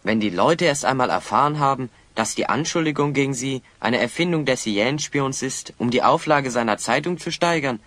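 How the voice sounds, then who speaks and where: A man's voice: mid-range voice, animated, a little fast, few pauses, fairly bright tone, dry recording, close to an everyday voice. A man playing a shrewd, confident hero sleuth in an audio drama, speaking in an assured, probing way. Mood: confronting, confident. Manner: assured, probing, clearly enunciated. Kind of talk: German children's audio drama acting.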